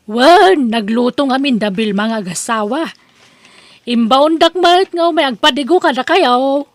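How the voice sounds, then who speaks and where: A woman performs a neighbour in a village audio drama. Her voice is high. She has the air of someone who will speak her mind. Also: expressive, a little slow, dry recording. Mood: matter-of-fact. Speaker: drama voice actress. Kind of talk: Ilocano audio drama acting.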